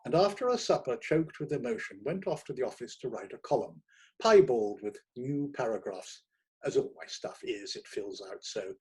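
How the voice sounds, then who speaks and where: A man narrating a first-person short story in a theatrical, expressive manner, savouring his words. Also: medium pitch, slightly rough, rough recording. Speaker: audiobook narrator.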